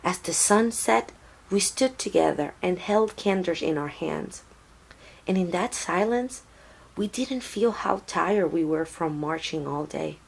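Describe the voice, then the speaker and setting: Slow-paced woman reading a children's story. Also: fairly low pitch, expressive, gravelly, breathy edge, low-quality recording. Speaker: storybook reader. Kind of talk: read-aloud children's story narration.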